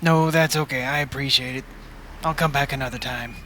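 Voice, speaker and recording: A man's voice: mid-range voice, fairly even intonation, brisk, a bit bright, a little hiss or hum, lightly acted. A man playing an easygoing, clueless city tourist, talking casually in a comic radio skit. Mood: sheepish. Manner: casual, laid-back.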